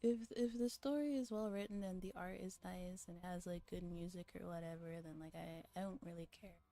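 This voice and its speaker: A woman's voice: fairly low pitch, steady tone, fairly quick, warm tone, soft background music. A young woman livestreamer chatting casually to her viewers in an informal, rambling, teasing and giggly manner, on a close microphone.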